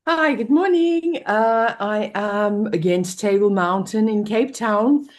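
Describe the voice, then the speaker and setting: A woman's voice: medium pitch, slow pace. A woman chatting casually as a participant on a video call. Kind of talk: casual chat.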